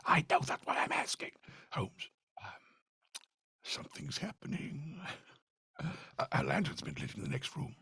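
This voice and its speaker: A man playing a hesitant, obliging male detective's sidekick in a live radio drama, halting and theatrical. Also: a little high, huge pitch swings, sharp tone, full character voice. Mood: nervous whispering. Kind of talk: live cold-read recreation of 1940s radio drama. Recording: live stage recording with clear background noise.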